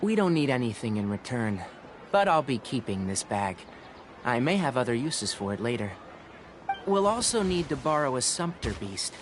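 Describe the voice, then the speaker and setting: Man acting aloof analytical forest ranger, lively pitch. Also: noisy background, lightly acted. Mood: businesslike, cool. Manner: measured, precise, matter-of-fact, formal. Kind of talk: video game voice acting. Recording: game music behind.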